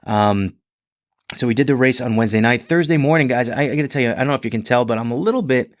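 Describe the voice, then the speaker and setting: Hoarse, chatty male podcast host. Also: medium pitch, quick pace, dry recording, clean studio sound. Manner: rambling, chatty, many restarts and fillers. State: hoarse and congested. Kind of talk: casual solo podcast monologue.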